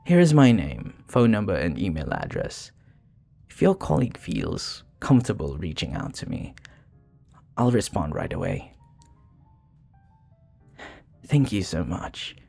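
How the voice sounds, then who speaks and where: Man acting a low, husky, flirty vampire bartender. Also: wildly swooping pitch, soft background music, heavily acted. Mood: hopeful, grateful. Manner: tender, hesitant, flirtatious, with soft pauses. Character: charming, flirty, sincere male vampire bartender. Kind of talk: intimate ASMR roleplay acting.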